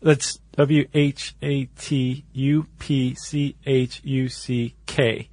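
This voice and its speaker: A man's voice: medium pitch, level tone, very slow, warm tone, no room sound. A man co-hosting a casual podcast, explaining things step by step in informal, slangy language. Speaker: podcast co-host.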